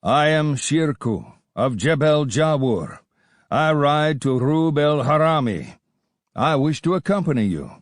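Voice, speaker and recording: A man's voice: on the high side, a little slow, no room sound, studio quality, pitched above the performer's own voice. A man voicing a confident, swaggering Kurdish desert rider who speaks boldly and proudly. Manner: bold, proud, flattering.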